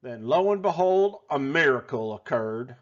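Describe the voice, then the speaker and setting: A man voicing a folksy, excitable restaurant owner telling a tall tale in a theatrical drawl for a children's storybook. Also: medium pitch, a little slow, muffled recording, voice pushed down, lightly acted. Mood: folksy, excited. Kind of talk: animated children's storybook read-aloud.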